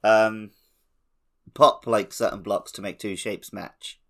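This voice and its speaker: A man's voice: lowish voice, steady tone, takes it slowly, fairly bright tone. A man co-hosting a podcast, casually discussing a video game. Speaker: podcast co-host.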